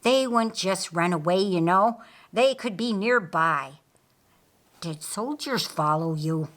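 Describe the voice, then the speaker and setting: A woman's voice: on the low side, expressive, takes it slowly, a bit bright. A woman narrator voicing a doubtful, grumbling man in an audiobook Bible story. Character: gruff, doubtful, grumbling man roused from hiding.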